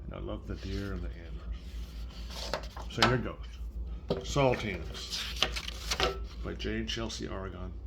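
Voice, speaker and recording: Older male storyteller, slow and gentle, slightly gravelly, conversational read-aloud for a small child. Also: deep voice, very noisy.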